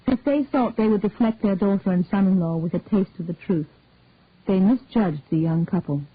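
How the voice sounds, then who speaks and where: A woman reads a story aloud in a calm, measured narrating voice. Her voice sits at an ordinary middle height. The recording is dry and thin, like a phone line. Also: mid-range voice, husky, dry recording. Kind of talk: audiobook-style story reading. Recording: dry, tinny phone-like sound.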